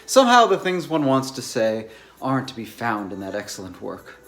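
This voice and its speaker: Man playing courteous, bookish bachelor with lively intonation. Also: medium pitch, normal speed, faint music behind. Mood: flustered, polite. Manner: polite, wordy, bookish phrasing. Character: courteous, awkward English academic bachelor, privately exasperated in romance. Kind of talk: amateur dramatized novel reading.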